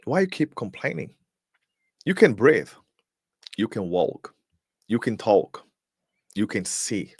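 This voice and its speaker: A man's voice: lowish voice, sing-song, slow, long pauses, husky, no room sound, clean studio sound. A man whose first language is Portuguese gives a live-streamed motivational talk as host. He is emphatic, fires off rhetorical questions, and repeats words as he searches for them.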